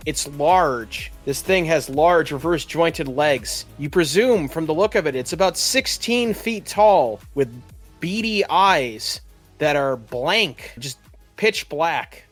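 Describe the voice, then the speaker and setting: Male game master, lightly high register, descriptive storytelling, faint music underneath. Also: lively intonation, slow pace. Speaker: game master and podcast host. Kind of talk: narrating a tabletop roleplaying game.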